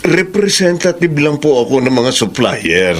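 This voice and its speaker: A Filipino actor playing a scheming, resentful town councilor who puts on deference, in a radio drama. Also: male voice, fairly high pitch, sing-song, a little slow, a little hiss or hum. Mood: deferential, smooth.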